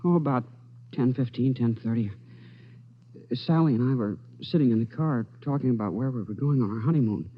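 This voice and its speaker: An actor playing a dazed, shaken man recounting an attack in an old radio drama, halting and earnest. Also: mid-range voice, close to an everyday voice. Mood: subdued, dazed. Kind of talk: 1940s-50s radio drama acting. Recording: dull vintage radio, music behind.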